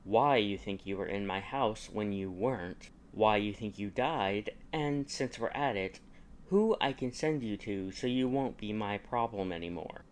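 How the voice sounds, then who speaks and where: A man's voice: lowish voice, unhurried, natural voice. A man voicing a haughty, impatient young aristocratic wizard father in an audiobook, with a dry, formal, interrogating manner. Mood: sardonic. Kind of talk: audiobook character dialogue.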